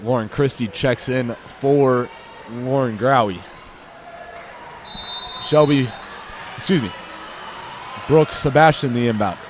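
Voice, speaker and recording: A young American man giving live basketball play-by-play in short, clipped calls that rise to excited shouts. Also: medium pitch, takes it slowly, pauses often. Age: young adult. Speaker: play-by-play commentator. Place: indoor high school gym during a game. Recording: noisy gym with loud crowd. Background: crowd noise, bouncing basketball.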